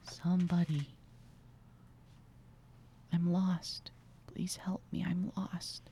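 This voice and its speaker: A woman voicing a lost little girl who pleads in a drawn-out, breathy whisper for a horror story. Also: fairly low pitch, little pitch movement, dark tone, slight background noise, heavily acted. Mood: eerie pleading. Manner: plaintive, pleading. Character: lost, pleading little girl's eerie voice outside in snow.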